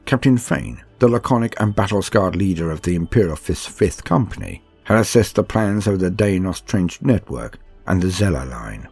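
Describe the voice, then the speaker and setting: A man with a low, husky voice delivers a measured, audiobook-style narration. He keeps a calm and steady delivery throughout. The sound is dry and close, with faint music underneath. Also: dry recording.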